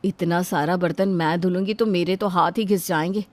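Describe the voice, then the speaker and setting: A woman's voice: lowish voice, fairly even intonation, fairly quick, dry recording, voice pushed down, lightly acted. A woman voicing a haughty, bickering elder daughter-in-law in a household story, boastful and theatrical. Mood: scheming, fretful. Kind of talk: animated Hindi moral story dubbing.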